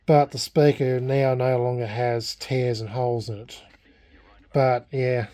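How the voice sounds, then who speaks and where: A man's voice: medium pitch, level tone, a little slow, dark tone. An Australian man chatting casually in a vlog, rambling and self-deprecating as he talks in a blunt way. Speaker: vlogger restoring a vintage radio.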